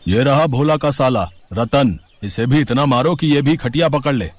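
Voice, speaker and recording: A man's voice: mid-range voice, normal speed, dry recording, lightly acted. A man playing a cheating, bullying street vendor villain with a threatening, taunting manner in a story. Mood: vicious.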